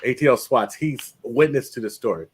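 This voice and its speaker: A man's voice: mid-range voice, normal speed, breathy edge. Man telling a story in loose casual chat. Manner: animated storyteller, puts on voices for people in his stories. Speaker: livestream host.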